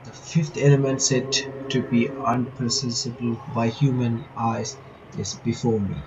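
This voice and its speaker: A man's voice: mid-range voice, level tone, unhurried, dull audio, noisy background. A man reading visual novel text aloud with casual commentary, breaking off to remark and reread lines. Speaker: gaming reaction video maker.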